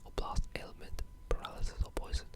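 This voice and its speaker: The voice is a pure breathy whisper with no tone behind it. The delivery is an informal ASMR ramble, halting and loosely strung together, like a gamer chatting quietly. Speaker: video game ASMR creator.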